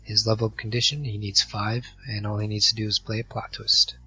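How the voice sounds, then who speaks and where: A hoarse man with a cold commentating an online card game match, reading out card text with many halting fillers. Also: on the low side, level tone, bright, forceful tone. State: hoarse from a virus. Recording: dull lo-fi mic with faint music.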